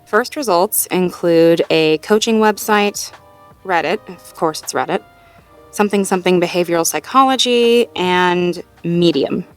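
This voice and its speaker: Supportive, playful girlfriend, played by a woman, lower-pitched voice. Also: a little slow, soft background music, natural voice. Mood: playful, amused. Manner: gentle, encouraging, lightly teasing, natural hesitations and chuckles. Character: supportive, playful girlfriend helping partner problem-solve. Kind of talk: intimate roleplay audio, one-sided conversation.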